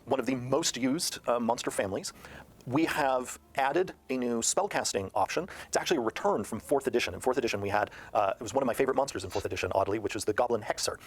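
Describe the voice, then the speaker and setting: Male, mid-range voice. Game designer in interview mode, detailed and emphatic, slightly halting. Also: medium pitch. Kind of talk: explanatory interview talk.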